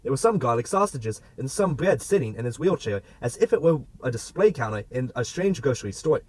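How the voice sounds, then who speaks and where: A man's voice: medium pitch, big pitch swings, a little fast, a little mellow, husky, no echo. A man narrating a literary novel as an amateur audiobook reader, with a theatrical delivery.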